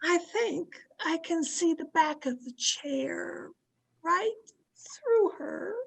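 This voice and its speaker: A woman acting a domineering, fearful, haunted wife in an old-time radio thriller, with an imperious, dramatic delivery full of halting dread. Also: very high voice, expressive, creaky, full character voice, low-quality recording. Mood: panicked.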